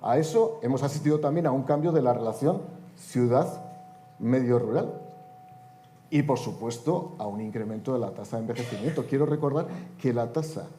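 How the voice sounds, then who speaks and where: A man's voice: medium pitch, normal speed, dark tone, soft background music. A man in his fifties speaking on a conference panel, delivering an oratorical talk with wry, joking asides. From Spain. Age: 50s.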